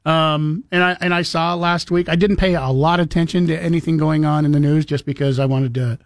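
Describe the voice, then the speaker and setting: Chatty man with a slightly high voice. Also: fairly even intonation, fairly quick, dry recording, clean studio sound. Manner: chatty, storytelling, laughs often. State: recovering from lost voice. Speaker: radio show co-host. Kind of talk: casual morning radio chat.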